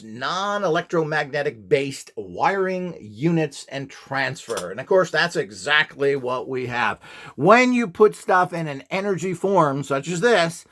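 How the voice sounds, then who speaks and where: A man's voice: a little high, fairly bright tone. A man instructor speaking informally as he demonstrates, with a blunt, opinionated and emphatic manner. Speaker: instructor selling courses and devices.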